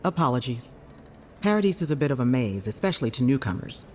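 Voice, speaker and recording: An actor voicing a caring, inquisitive healer in a video game, with a measured, advising manner. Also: male voice, mid-range voice, sing-song, slight background noise, close to an everyday voice. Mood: reassuring, advising. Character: keen, caring clinic healer, intrigued by strange souls. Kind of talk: video game character dialogue.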